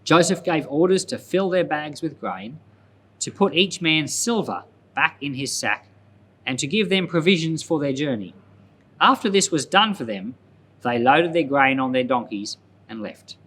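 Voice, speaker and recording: A man reading scripture aloud as a pastor, narrating in a deliberate, storytelling manner. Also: fairly high pitch, animated, fairly bright tone, slight background noise.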